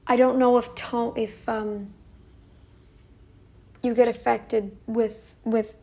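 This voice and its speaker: A young woman vlogging casually to camera, her voice croaky as she talks in halting, rambling sentences full of restarts. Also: fairly high pitch, flat delivery, mellow. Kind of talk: casual talking-head vlog.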